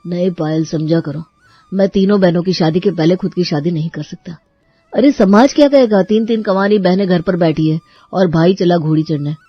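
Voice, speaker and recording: A woman's voice: fairly low pitch, normal speed, husky, no room sound, highs cut off, faint music behind. Woman voicing a dutiful, exasperated young brother. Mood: earnest, resigned. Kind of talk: Hindi cartoon story voice acting.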